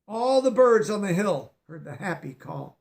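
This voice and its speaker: A man with a notably high voice reads a children's picture book aloud. He gives the story gentle expression and pauses to explain a word or two for young listeners. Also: big pitch swings. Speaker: storybook reader.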